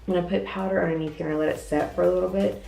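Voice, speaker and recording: A young woman talks through a makeup routine in a chatty, self-deprecating way, her voice stuffed up with congestion. It sits low and warm, with a gravelly edge. She is a little off-mic in an echoey bathroom, with faint music underneath. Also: fairly even intonation, rapid-fire. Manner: chatty, self-deprecating, explains each step. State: congested, voice lower than usual. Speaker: beauty vlogger. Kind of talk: casual makeup tutorial. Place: hotel bathroom.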